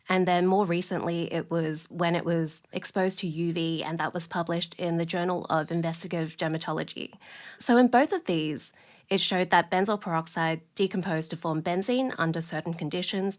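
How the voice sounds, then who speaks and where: Female science communicator, slightly low voice, explanatory interview delivery.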